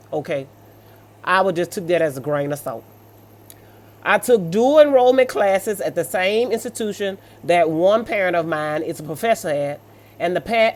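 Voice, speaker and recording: A mid-pitched American voice reads stories aloud and comments on them off the cuff. The delivery is sassy and blunt, with colloquial asides in a scolding manner. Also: moderate pace. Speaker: host reading and reacting to online stories.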